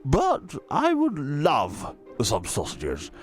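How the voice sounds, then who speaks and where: A man's voice: mid-range voice, huge pitch swings, extremely slow, faint music behind, voice pushed up, heavily acted. A man voicing a kindly, eccentric old tramp with courteous, old-fashioned manners in a children's storybook. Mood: genial, eccentric. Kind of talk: children's storybook character reading.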